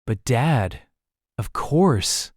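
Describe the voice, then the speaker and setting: A man playing a calm, bookish young amateur detective with pedantic, deliberate phrasing in an audiobook mystery drama. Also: mid-range voice, no room sound, studio quality, heavily acted. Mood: calm, wry.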